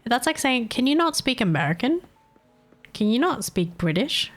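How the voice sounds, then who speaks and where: A woman's voice: a little high, sing-song, moderate pace, soft background music. Giggly Filipino-accented young woman streamer. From Philippines. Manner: laughs often, teasing and playful with chat. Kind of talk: casual live-stream chat.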